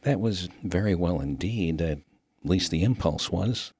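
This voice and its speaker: A man's voice: low-pitched, unhurried, a little mellow, husky, no echo, studio quality, heavily acted. A man voicing a refined, mild and earnest clergyman, formal and wordy, in a humorous story. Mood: solemn, inquiring. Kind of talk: dramatic reading of a humorous story.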